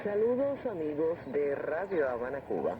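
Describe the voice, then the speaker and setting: A man's voice: a little high, very animated, brisk, phone-quality sound. Thin, distant shortwave male announcer, heavy static. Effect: heard through shortwave radio. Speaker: radio announcer. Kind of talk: Spanish-language radio broadcast presenting.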